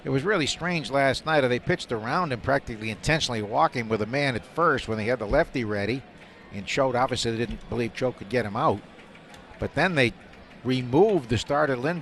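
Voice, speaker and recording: A man calling baseball live on radio, describing each play in detail and getting excited on the big ones. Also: mid-range voice. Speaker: play-by-play announcer. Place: stadium broadcast booth. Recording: faint stadium noise behind a broadcast.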